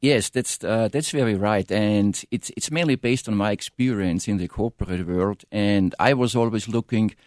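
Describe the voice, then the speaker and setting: A middle-aged man with an Austrian accent, a native German speaker, giving earnest, halting answers in a radio interview. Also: on the low side, a little mellow, no echo, clean studio sound. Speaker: interview guest, consulting firm founder. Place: radio studio.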